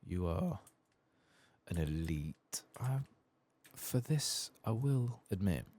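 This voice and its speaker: A man softly narrating a video game playthrough in ASMR style, murmuring and musing hesitantly to himself as he plays. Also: deep voice, lively intonation, slow. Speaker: gaming channel host. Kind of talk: soft-spoken ASMR game playthrough commentary.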